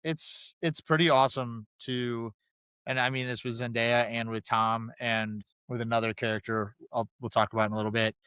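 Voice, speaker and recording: A man's voice: mid-range voice, little pitch movement, moderate pace, bright, forceful tone, clean tone, no echo. A man co-hosting a podcast, talking casually about films and repeating himself for emphasis. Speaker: podcast co-host. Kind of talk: casual film review chat.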